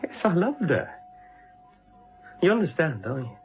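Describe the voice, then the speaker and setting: Unhinged, wildly swinging young British killer. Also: male voice, a little high, very animated, measured pace, highs cut off, faint music behind. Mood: bitter, manic. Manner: menacing, self-justifying, obsessive. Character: young policeman turned unhinged, woman-hating killer. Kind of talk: British radio drama acting.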